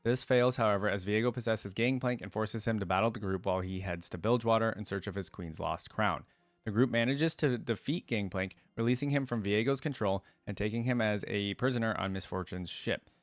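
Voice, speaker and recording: A man's voice: lowish voice, brisk, no room sound, faint music behind. A man hosting a reaction video, reading written lore aloud and breaking off for casual commentary. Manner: casual asides while reading.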